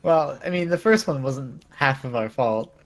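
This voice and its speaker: A man's voice: mid-range voice, animated, normal speed. A man chatting as a player in a tabletop game stream, teasing and melodramatic in casual banter.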